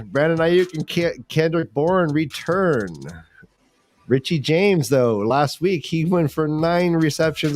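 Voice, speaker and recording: A man hosting a fantasy football show in casual, jokey sports chat. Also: on the high side. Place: online livestream group call.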